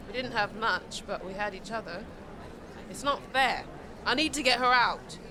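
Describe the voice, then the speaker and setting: A fiery, loyal street girl acted by a woman with a high, swooping voice. She is intense and defensive, in an audio drama with clear outdoor noise behind her. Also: lively intonation, normal speed, close to an everyday voice. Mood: wistful, determined. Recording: noisy outdoor street ambience.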